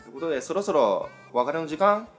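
A man's voice: fairly high pitch, big pitch swings, quick pace, soft background music. A man hosting a radio show, moving between casual chat and announcements.

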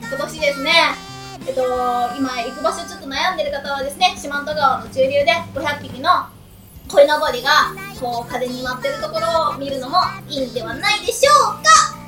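An excitable, giggly woman with a youthful, girlish voice, hosting a radio talk show with high energy. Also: a little fast. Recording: roomy, distant mic, music behind.

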